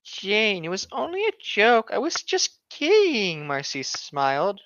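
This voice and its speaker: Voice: medium pitch, wildly swooping pitch, a little slow, fairly bright tone, no echo. A speaker doing a mocking dramatic reading with exasperated, sarcastic ad-lib commentary, on a slightly dull microphone. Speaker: co-reader riffing on a horror story.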